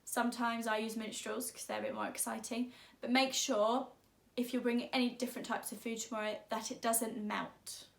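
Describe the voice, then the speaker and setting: A woman teacher reading a children's story aloud, expressively and deliberately, drawing out words. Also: fairly high pitch, level tone, creaky, echoey room, a little hiss or hum.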